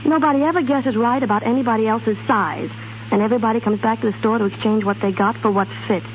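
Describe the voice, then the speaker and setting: A woman's voice: a little high, steady tone, fast talker, lightly acted. An actress playing a young salesgirl, eager and dramatic, in a 1930s radio commercial. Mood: weary, complaining. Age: young adult. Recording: hissy, narrow-band 1930s radio recording.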